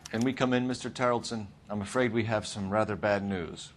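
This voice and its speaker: An actor playing a formal, fair-minded homicide detective in a video game, clipped and courteous. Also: male voice, fairly low pitch, fairly even intonation, a little fast, slight background noise, close to an everyday voice. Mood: grave, polite. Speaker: police detective. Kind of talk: video game cutscene acting.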